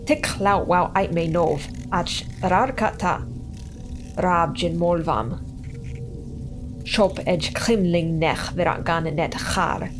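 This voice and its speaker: A woman acting in an audio drama with a measured, deliberate delivery. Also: lowish voice, over music.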